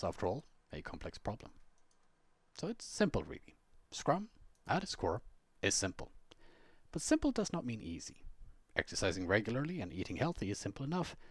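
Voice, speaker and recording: A man with a husky voice reads a scripted instructional piece in a measured, explanatory way, with conversational asides. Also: fairly low pitch, no echo. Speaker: blogger and Scrum trainer reading own blog post.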